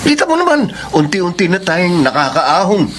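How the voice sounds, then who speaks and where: Pushy, swooping older brother. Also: male voice, high-pitched, huge pitch swings, normal speed, fairly bright tone, a little hiss or hum, exaggerated voice. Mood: scornful, boastful. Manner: emphatic, nagging. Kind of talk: Filipino radio romance drama acting.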